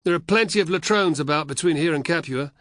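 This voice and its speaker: Fields: man doing a leering crude legionary. Pitch: very high. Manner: jeering. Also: fast talker, fairly bright tone, no echo, studio quality, close to an everyday voice. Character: leering crude male Roman legionary, joker.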